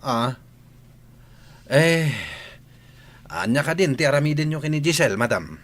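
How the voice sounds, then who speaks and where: An actor playing a nervous, gossipy household servant in an Ilocano comedy radio drama, stammering and exclaiming in a husky voice. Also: male voice, a little high, lively intonation, measured pace, a bit bright. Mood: nervous excitement.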